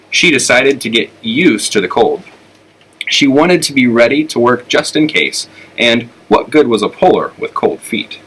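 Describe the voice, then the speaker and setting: A man's voice: mid-range voice, fairly bright tone, low-quality recording. A man with an American accent narrating a children's storybook aloud. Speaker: elementary school teacher reading aloud.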